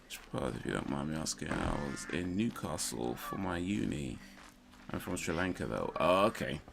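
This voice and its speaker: A laid-back man with a London accent chats easily with his viewers while he plays. His voice is deep and gravelly. A faint hiss sits behind it. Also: lively intonation, normal speed. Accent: London English. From London, England. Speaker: streamer playing a game. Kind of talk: casual live-stream chat.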